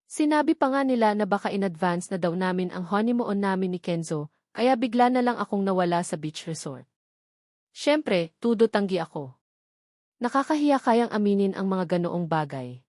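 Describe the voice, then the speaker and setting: A woman's voice: mid-range voice, lively intonation, moderate pace, a little mellow, no echo, clean studio sound. A woman with a Filipino accent reading a scripted first-person romance story as an audiobook narrator.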